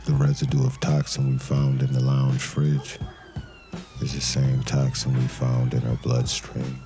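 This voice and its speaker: A man playing a brooding detective, narrating a crime drama in a somber, deliberate noir style with dramatic pauses. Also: extremely low pitch, monotone, dark tone. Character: brooding male detective narrating a murder case. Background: music.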